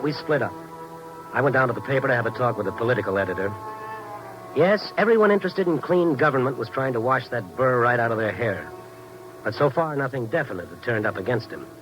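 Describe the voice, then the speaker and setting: A hard-boiled but sympathetic American newspaper reporter in a vintage radio drama. He speaks in a mid-pitched, bright, forceful voice with wry, slangy phrasing. Music runs behind the old broadcast. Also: low-quality recording. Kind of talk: 1950s radio drama dialogue and first-person narration.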